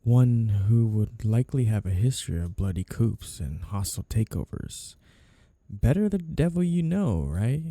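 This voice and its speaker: A man playing a flirty, two-faced, smug mafia boss in a scripted roleplay audio drama, teasing and drawing out his phrases theatrically in a gravelly voice. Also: lowish voice, very animated, normal speed, mellow, no room sound, studio quality. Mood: persuasive.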